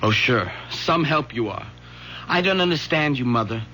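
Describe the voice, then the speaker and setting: An actor playing an anxious, defensive young son, emphatic and tense, with an American accent in old-time radio style. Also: male voice, mid-range voice, expressive, normal speed, pushed and bright. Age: young adult. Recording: noisy old radio drama recording.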